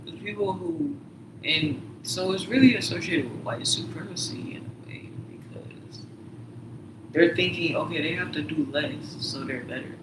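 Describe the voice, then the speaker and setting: A man's voice: on the high side, moderate pace. A man telling a story in casual chat, rambling with many fillers and sometimes dropping to a whisper. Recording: roomy, distant mic, noisy background.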